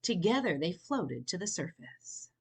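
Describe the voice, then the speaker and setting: A woman reading a picture book aloud to young children, expressive and inviting, pausing to wonder aloud in chatty asides. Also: lowish voice, creaky, husky. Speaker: children's storytime reader.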